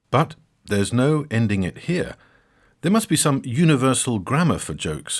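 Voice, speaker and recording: A man narrating a scripted comic story for a podcast, wry and theatrical with dramatic pauses. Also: medium pitch, slightly rough.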